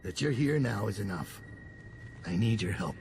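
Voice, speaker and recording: A man playing an old, ailing master assassin in a video game, grave and terse, with a gravelly voice. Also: on the low side, lively intonation, normal speed, over music. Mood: grim resolve. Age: older. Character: old, sick, regretful master assassin, grim and resolute. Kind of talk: dramatic video game acting.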